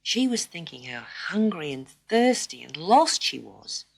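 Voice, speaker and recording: Expressive woman storyteller with widely swinging pitch. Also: creaky, low-quality recording. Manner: expressive, dramatic pauses. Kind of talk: scripted children's story narration.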